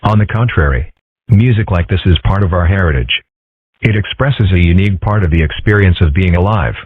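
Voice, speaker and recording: A man's voice: low-pitched, a little fast, slightly rough, no echo. A man voicing an earnest, philosophical young male fox in a comic dub, with a thoughtful, dry, articulate manner. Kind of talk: comic strip dub, character acting. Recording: muffled, dull, dry audio.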